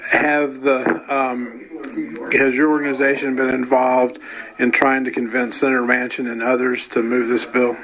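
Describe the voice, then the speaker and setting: Male reporter, average pitch, plain field interview questioning. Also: flat delivery, a little slow, a bit bright, low-quality recording. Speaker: radio reporter.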